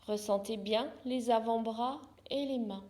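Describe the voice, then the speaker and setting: A woman guiding a yoga nidra relaxation as a therapist, calmly, in short soothing instructions with pauses. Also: fairly high pitch. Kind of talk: guided yoga nidra meditation.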